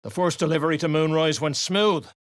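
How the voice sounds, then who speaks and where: An actor voicing a gruff, surly dwarven raider guard in a video game, coarse and slangy, grumbling and barking orders. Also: medium pitch, fairly even intonation, a little fast, no echo, clean studio sound, lightly acted. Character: gruff, surly duergar raider guard, rough-tongued underling. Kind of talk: video game character voice lines.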